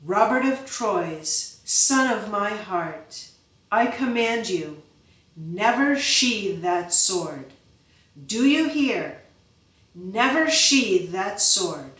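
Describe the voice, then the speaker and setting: A woman's voice: mid-range voice, expressive, takes it slowly, pauses often, fairly bright tone, far from the mic. A woman reading the part of a giant medieval lord, a stern and fervent father who gives solemn, fierce commands in a storybook. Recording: echoey room, from off-mic.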